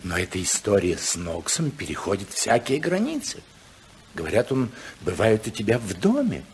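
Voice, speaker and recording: Low-voiced, stern, haughty old tycoon. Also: male voice, huge pitch swings, moderate pace. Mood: stern, disapproving. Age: older. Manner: pompous, authoritative. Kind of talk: 1950s Soviet radio play acting. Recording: noisy old 1950s radio recording.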